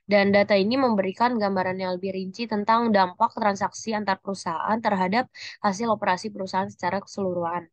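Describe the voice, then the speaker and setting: Young woman, dry close sound, halting delivery. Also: medium pitch, steady tone, dry recording, dull audio. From Indonesia. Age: young adult. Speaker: university accounting student presenting. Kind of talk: scripted group presentation, reading answers aloud.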